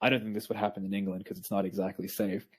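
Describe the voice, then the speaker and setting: A man interviewing a guest in a friendly, casual way, pausing often with ums and restarts. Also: on the low side, level tone, a little mellow, breathy edge. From Peru, raised in Australia.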